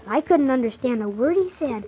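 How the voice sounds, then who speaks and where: A performer playing Tim, a polite young boy telling his story with earnest, animated wonder on 1940s children's radio. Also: high-pitched, normal speed. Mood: amused, excited. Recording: muffled, hissy 1940s radio transcription.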